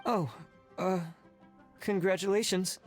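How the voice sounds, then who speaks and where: A man's voice: high voice, fairly even intonation, takes it slowly, soft background music. A man playing a meek, accommodating young character in a video game, hesitant and polite. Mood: awkward.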